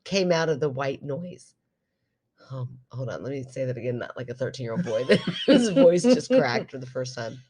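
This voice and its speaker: A woman's voice: a bass voice, sing-song, moderate pace, a little mellow. A woman co-hosting a podcast in casual comedic chat, giggly, exclamatory and given to swearing. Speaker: podcast co-host.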